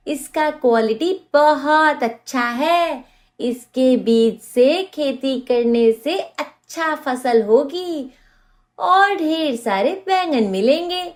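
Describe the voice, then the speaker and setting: A woman voicing a motherly crow mother-in-law in an animated moral story, practical, caring and firmly instructive. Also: sits high.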